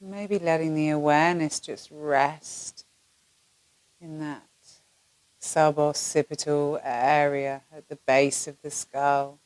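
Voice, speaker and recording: Low voiced woman yoga nidra teacher, really slow and soothing, lots of pauses, dry close sound. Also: fairly even intonation, dry recording, rough recording. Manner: soothing, gentle, with long pauses between phrases. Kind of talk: guided relaxation meditation.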